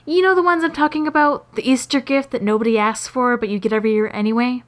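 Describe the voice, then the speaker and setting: A woman's voice: fairly high pitch, steady tone, quick pace. A woman narrating scripted comedic stories in a wry, sarcastic, exaggerating way. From Canada. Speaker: storyteller and animator.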